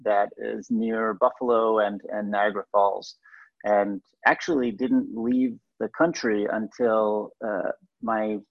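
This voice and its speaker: A man's voice: on the low side, level tone, takes it slowly, dry recording. A man from Western New York in his thirties, a guest talking over a call, thoughtful and hesitant with frequent ums and restarts. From Western New York, USA. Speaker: guest, startup CEO. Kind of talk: relaxed interview answers. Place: remote call from home or office. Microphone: call audio. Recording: muffled lo-fi call audio.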